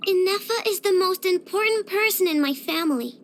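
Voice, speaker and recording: A woman's voice: extremely high pitch, expressive, fairly quick, background noise. A woman voicing a cheerful, proud young girl inventor in a video game, with a bubbly, boastful, childlike delivery. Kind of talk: scripted video game character voice lines.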